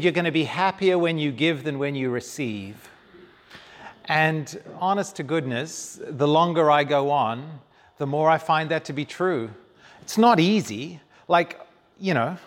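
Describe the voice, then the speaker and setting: A man from Zambia, a guest speaker telling his life story with self-deprecating humor in an onstage interview. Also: on the high side. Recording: long hall reverb.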